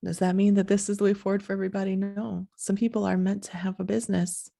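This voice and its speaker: Soft sleepy-voiced woman podcaster, gravelly. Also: medium pitch, level tone. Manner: slow, halting, breathy and soft-spoken. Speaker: podcast host. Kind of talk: soft reflective monologue.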